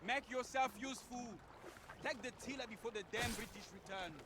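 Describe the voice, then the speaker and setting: High-pitched bitter pirate sailor. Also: male voice, fairly quick. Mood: brusque. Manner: curt, bossy, complaining. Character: bitter, gruffly blaming shipwrecked pirate sailor. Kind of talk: video game character acting. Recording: noisy sea and boat ambience.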